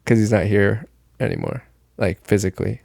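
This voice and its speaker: A man's voice: on the low side, wildly swooping pitch, moderate pace, gravelly, darkish tone, no room sound, studio quality. A young man co-hosting a podcast, joking and swearing in playful banter. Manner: joking, profane, playful rhymes. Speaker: podcast co-host. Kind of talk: casual banter.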